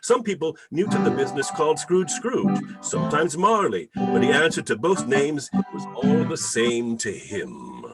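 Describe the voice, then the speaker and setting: A man announcing and narrating a radio play in a theatrical, deliberate, emphatic style. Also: medium pitch, sing-song, over loud music. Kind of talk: old-time radio announcing and storytelling. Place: remote video call reading.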